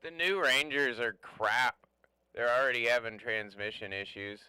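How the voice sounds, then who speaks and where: A man's voice: medium pitch, normal speed. A man talking casually over CB radio, blunt, opinionated and given to swearing. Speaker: CB radio operator chatting. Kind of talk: casual chat about trucks. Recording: very noisy CB radio with clicks.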